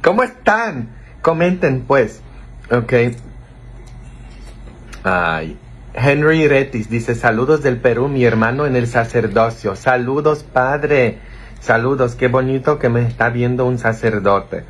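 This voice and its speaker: A man's voice: mid-range voice, lively intonation, takes it slowly, husky. A man in his thirties, a priest streaming live, chatting playfully and reading viewers' comments aloud from a small office into a phone held close. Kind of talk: casual live-stream chat. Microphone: phone held close to the face.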